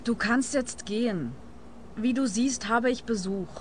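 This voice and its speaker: A woman's voice: on the high side, unhurried, music in the background, natural voice. Actress as a blunt, teasing young woman. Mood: cool, dismissive. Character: cool, blunt young woman fending off a suitor. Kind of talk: comic radio play acting.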